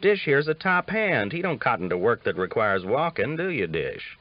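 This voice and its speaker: Ornery older cowboy, played by a male narrator, teasing and folksy, lifted in pitch, lo-fi audiobook sound. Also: very animated, normal speed, no room sound, close to an everyday voice. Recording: dull lo-fi audiobook recording.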